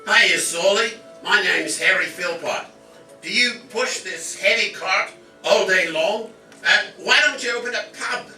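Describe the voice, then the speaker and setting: A man's voice: sits high, a little slow, bright, forceful tone, exaggerated voice. A man playing a bewildered modern taxi driver in a live amateur stage radio play. He speaks hesitantly, with many "uh"s. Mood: friendly. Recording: roomy hall from far off, with music.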